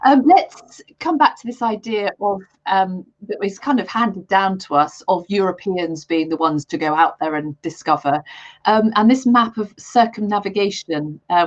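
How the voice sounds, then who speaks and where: A woman's voice: medium pitch, muffled recording. Woman interviewer framing questions. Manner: measured, with some ums while framing questions. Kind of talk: conversational interview.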